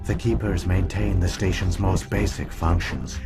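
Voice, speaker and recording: Deep, solemn ancient machine guardian. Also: male voice, steady tone, gravelly, over music. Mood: solemn, calm. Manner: measured, formal, grave exposition. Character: ancient damaged alien computer program, calm, solemn guardian. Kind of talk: scripted video game dialogue.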